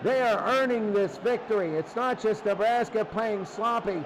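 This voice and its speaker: A man's voice: high voice, moderate pace, background noise. A man doing live play-by-play football commentary in short, clipped, repeated phrases. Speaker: play-by-play sports announcer.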